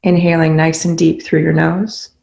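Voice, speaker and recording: A meditation leader guiding listeners in a soothing, unhurried way, with pauses between short instructions. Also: medium pitch, little pitch movement, creaky, a little mellow, lo-fi sound. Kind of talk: guided meditation.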